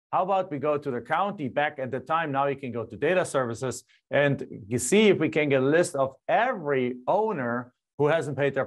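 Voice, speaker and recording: A middle-aged man from Germany with a German accent, a guest telling his story animatedly in a casual interview, recorded on a lapel mic in a home studio. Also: a little high. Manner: animated, gestures a lot, frequent restarts. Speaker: guest, land investor. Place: home studio with screen and map backdrop.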